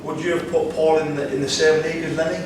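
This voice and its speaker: A man's voice: a little high, level tone, quick pace. A man hosting a podcast, firing eager, probing questions at his guest. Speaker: podcast host. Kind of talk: casual interview questions. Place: bar lounge, seated on a sofa. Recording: echoey room from far off, background hum.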